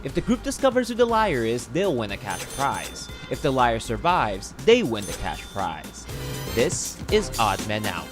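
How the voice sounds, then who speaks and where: Brief: polished show narrator, lively pitch, music playing underneath. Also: unhurried. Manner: polished, inviting presenter delivery. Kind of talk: scripted narration.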